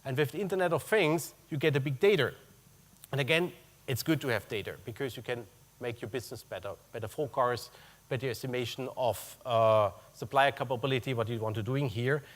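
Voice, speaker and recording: A man's voice: medium pitch, fairly quick. German-accented man presenting, wry and chatty. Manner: conversational, adds "huh?" tags to check in with the audience; non-native English phrasing, wry asides. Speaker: conference speaker, purchasing executive. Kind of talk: business presentation with slides. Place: conference room.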